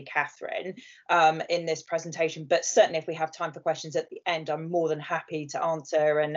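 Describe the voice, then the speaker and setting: A woman with a southern English accent presenting to an online group, friendly and chatty. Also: deep voice. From southern England. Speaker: guest speaker, marketing presenter. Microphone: video call. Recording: dull lo-fi video call audio.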